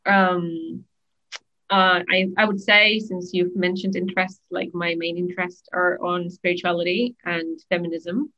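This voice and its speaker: A woman's voice: fairly low pitch, little pitch movement, a little slow. Woman on a lo-fi call. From Spain. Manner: chatty, filler-heavy, many "like"s. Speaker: guest. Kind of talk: conversational interview answers.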